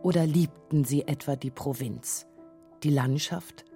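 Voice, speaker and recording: Low, mellow woman narrating a documentary. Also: measured pace, faint music behind. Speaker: narrator of a radio feature.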